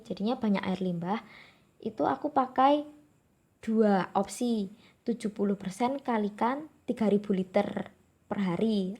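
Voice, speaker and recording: A woman's voice: medium pitch, mellow. A young woman from Java with a Javanese accent, working through exam questions in a friendly, informal way. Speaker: exam tutor. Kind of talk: explaining exam questions to viewers.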